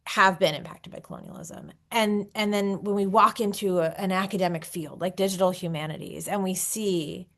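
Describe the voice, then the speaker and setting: A woman speaking as a scholar on an academic panel, earnest and halting as she rephrases her thoughts aloud. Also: mid-range voice, fairly even intonation, fairly bright tone. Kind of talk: unscripted academic discussion.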